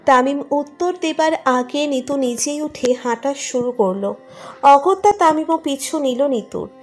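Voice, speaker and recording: A woman's voice: sits high, measured pace. A woman reading a scripted romantic story as its narrator. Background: music under the narration.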